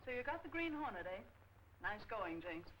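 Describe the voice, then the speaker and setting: A woman's voice: medium pitch, sing-song, moderate pace, a bit bright. An actress playing a sassy, wry newspaper office woman in a 1940s film serial. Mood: teasing. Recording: slightly noisy old film soundtrack.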